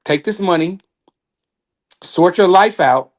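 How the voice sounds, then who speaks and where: A man's voice: high-pitched, takes it slowly. Rambling man in casual boxing chat. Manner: loose, rambling, trails off with laughs. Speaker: co-host.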